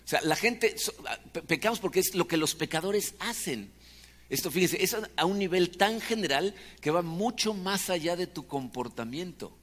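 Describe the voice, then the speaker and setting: A man's voice: sits high, lively intonation, normal speed, a bit bright, big-room sound. A man from Mexico with a Mexican Spanish accent, preaching in a chatty sermon style full of rhetorical questions. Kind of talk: conversational sermon.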